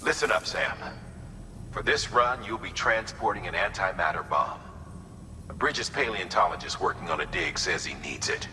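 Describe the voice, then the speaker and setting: A sharp, very forceful low voice rings with reverb over faint noise. a businesslike dispatcher in a video game. He gives firm instructions with a dry note of caution. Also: expressive, normal speed, heavily acted. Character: stern, businesslike male dispatcher giving mission orders. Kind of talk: video game mission briefing.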